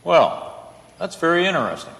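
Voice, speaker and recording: An actor playing an earnest, loyal, resourceful lawyer who argues persuasively in an old-time radio play. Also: male voice, a little high, sing-song, moderate pace, gravelly, a bit bright, exaggerated voice. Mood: sly, confident. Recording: echoey hall with long reverb.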